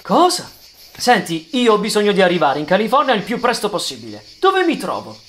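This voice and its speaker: A man's voice: sits high, huge pitch swings, fairly quick, fairly bright tone, heavily acted. A young man voicing a cocky, anxious young race car in an agitated, self-important way for a cartoon fan dub. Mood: panicked. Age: young adult. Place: small home room with shelves. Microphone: close studio mic on stand.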